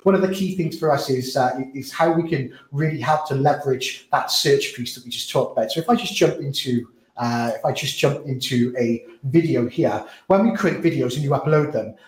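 A man giving a software product demo, talking quickly and filling his sentences with "you know". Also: mid-range voice, reverberant, low-quality recording. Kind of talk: screen-share product walkthrough.

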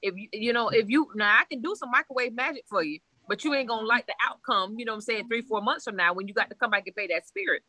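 A woman's voice: fairly high pitch, fast talker, few pauses, sharp tone, dry recording, poor audio. A woman from Haiti, a priestess, talking bluntly and animatedly in a casual conversation, laughing often and swearing freely. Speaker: Ifa priestess guest.

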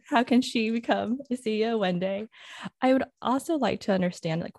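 A woman interviewer asking measured, thoughtful questions in a conversational tone. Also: on the low side, darkish tone, no echo, studio quality.

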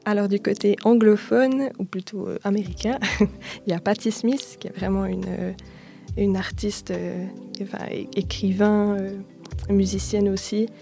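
Young woman, hesitant, soft-spoken, mellow, faint music behind. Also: medium pitch, fairly even intonation, moderate pace, gravelly. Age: young adult. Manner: hesitant, soft-spoken, many fillers and restarts. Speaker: singer-songwriter guest. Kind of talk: casual interview answers.

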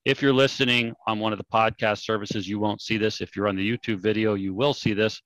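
A man's voice: on the low side, fairly even intonation, fairly quick, fairly bright tone, no echo, muffled recording. A man in his forties from California, hosting a podcast and explaining things in a casual, conversational way. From California, United States. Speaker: podcast host.

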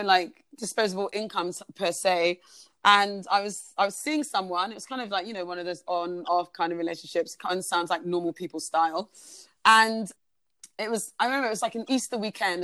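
A woman in her thirties, originally from Uganda, who speaks. Her talk is warm and chatty, full of "um"s, "like"s and restarts. Her voice sits mid-range and the recording is dry and close. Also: mid-range voice, clean tone, no room sound. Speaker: podcast guest. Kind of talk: casual conversational storytelling.

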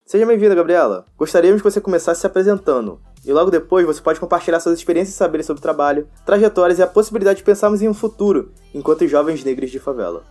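Fast-talking, mellow-voiced male co-host. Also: a little high, faint music behind. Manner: energetic, slangy hype. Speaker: podcast co-host. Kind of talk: scripted podcast introduction.

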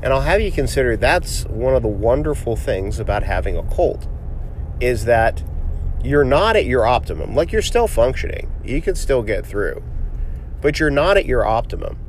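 A man's voice: medium pitch, very animated. A middle-aged man speaks with a congested, sniffly voice, nasal from a cold, as he hosts his own podcast. His talk is reflective and encouraging, dotted with ums and restarts. Faint street and car noise sits under the voice. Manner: reflective, motivational, halting with fillers and restarts. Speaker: podcast host, physician. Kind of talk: casual solo podcast monologue.

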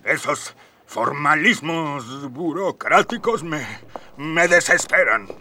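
A man with a Mexican accent playing a whimsical, easily flustered alien emperor in a comic radio drama, halting and repeating himself. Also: a little high, animated, bright, forceful tone, full character voice. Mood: flustered, impatient.

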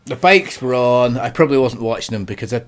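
A man's voice: mid-range voice, fast talker. A man in his late thirties co-hosts a laid-back chat. He trades jokes and curses with an easy, teasing manner. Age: 30s. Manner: banters, swears, laughs often. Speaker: podcast co-host. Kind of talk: casual chat.

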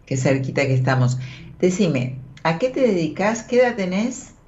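A woman's voice: on the low side, big pitch swings, normal speed, a little mellow. Woman, Argentine accent, call-in radio host, informal advice chat, reassuring, muffled recording. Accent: Argentine Spanish. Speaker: radio host and tarot reader. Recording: dull, band-limited audio.

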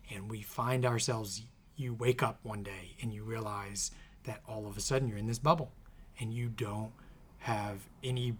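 A man hosting his podcast in casual conversation, his voice a little scratchy, talking in an earnest, reflective way that often trails off softly. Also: fairly low pitch, steady tone, moderate pace, husky. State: slightly scratchy voice. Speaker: podcast host and author. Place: in the same room as co-host.

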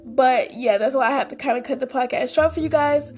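Raspy-voiced woman hosting a chatty podcast. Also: high-pitched, brisk, a little mellow, soft background music. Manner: chatty and enthusiastic, addresses listeners as "guys". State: hoarse. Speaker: podcast host. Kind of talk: casual solo podcast chat.

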